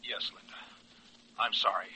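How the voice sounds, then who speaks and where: A man acting an awkward, apologetic American boyfriend in a 1940s radio drama, speaking haltingly. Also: sharp tone, rough recording. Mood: apologetic, uneasy. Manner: halting, hesitant.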